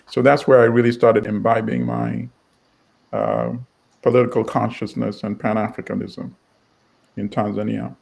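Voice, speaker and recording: Middle-aged man from Uganda, publisher as interview guest; gravelly, measured, wry delivery. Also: fairly low pitch, little pitch movement, a little mellow. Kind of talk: storytelling interview answer.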